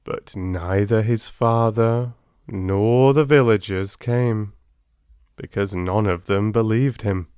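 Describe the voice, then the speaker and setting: A man reading a storybook tale aloud as an audiobook narrator, with expressive, dramatic and deliberate delivery. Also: lowish voice, smooth, dry recording, clean studio sound.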